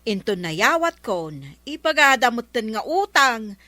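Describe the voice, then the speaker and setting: A woman's voice: a little high, big pitch swings, measured pace, sharp tone, dry recording, heavily acted. A woman playing a grumbling village neighbour in a comedy drama, speaking with an Ilocano accent.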